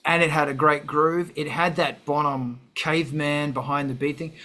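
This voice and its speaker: Bright, higher-pitched, brash male host. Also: steady tone, normal speed. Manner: brash, sweary, self-mocking grumpy rants. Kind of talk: casual chat.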